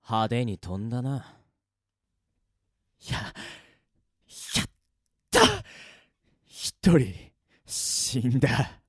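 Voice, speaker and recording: A man playing a cocky, cruel death-game player in a Japanese radio drama, with rough, taunting, gloating speech. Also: mid-range voice, extremely slow, long pauses, a little mellow, dry recording, studio quality, exaggerated voice. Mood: cold defiance.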